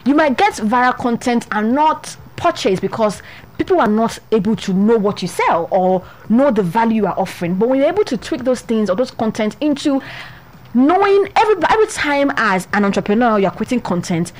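A woman's voice: fairly high pitch, animated, a little fast, poor audio. A young woman with a Nigerian accent, co-hosting and telling a story in a chatty way full of restarts. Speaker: podcast co-host. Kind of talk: casual advice talk.

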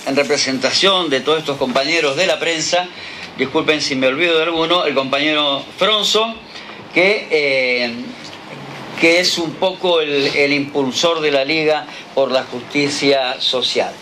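A man's voice: on the high side, measured pace, sharp tone, slight background noise. A man moderating an event, introducing the next speaker in a casual, hesitant way.

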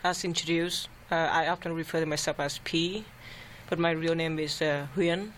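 A young woman from Vietnam, answering interview questions haltingly with frequent uhs. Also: low voice, steady tone, slight background noise. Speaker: artist guest.

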